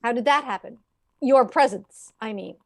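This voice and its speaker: Woman playing a high-voiced, stern coroner. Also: moderate pace, natural voice. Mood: official, brisk. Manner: formal, stern, clipped questioning. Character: stern, composed, authoritative frontier woman coroner. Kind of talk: old-time radio drama acting.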